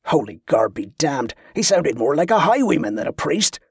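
A man's voice: fairly high pitch, sing-song, normal speed, slightly rough, no room sound, heavily acted. A sardonic cook on the road played by a man in a fantasy audiobook. He mutters wry, colloquial jabs, eager to get under the skin. The sound is very dry. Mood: needling. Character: sardonic, needling male travelling cook, fond of provoking.

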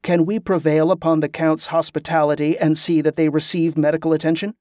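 A man voicing a calm, resolute leader of a party in an audiobook story. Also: a little high, a little fast, no echo, clean studio sound, lightly acted. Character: Teska, calm, decisive male leader.

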